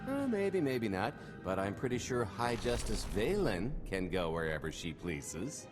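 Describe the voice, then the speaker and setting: A swaggering video game smuggler with a lowish voice that moves up and down in lively swings. He is glib and wry, selling every scheme with cocky ease, over a clear bed of music. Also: measured pace. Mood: sly, confident. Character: cocky roguish male smuggler pitching a heist. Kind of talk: cinematic video game voice acting. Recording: music behind.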